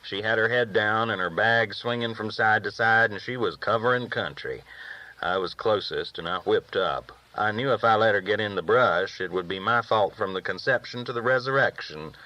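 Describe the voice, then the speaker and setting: A man's voice: lowish voice, moderate pace, bright, forceful tone. A man reading a novel aloud as a first-person storyteller, with folksy rural phrasing, on a dull recording. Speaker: audiobook reader.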